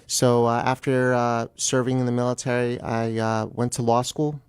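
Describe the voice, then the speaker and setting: An American man from New Jersey giving a casual interview as a guest, pausing often with ums and uhs. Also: medium pitch, flat delivery, smooth, no echo. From New Jersey, United States. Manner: hesitant, frequent ums and uhs. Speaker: guest attorney.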